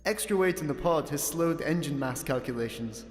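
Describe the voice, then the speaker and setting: A man voicing a polite, chipper, blithely calm spaceship computer with formal, precise speech in a sci-fi comedy radio play. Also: a little high, moderate pace, close to an everyday voice. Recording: echoey, long reverb.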